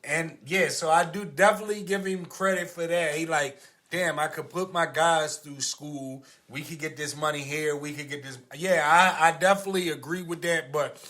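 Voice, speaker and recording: Bright-voiced, insistent American man. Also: fairly high pitch, normal speed, hardly any pauses. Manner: insistent, argumentative, slangy. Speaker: podcast co-host. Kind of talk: casual debate.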